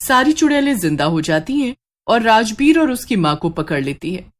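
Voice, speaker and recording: A woman reading scripted horror narration as a dramatic, measured storyteller. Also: on the high side, very animated.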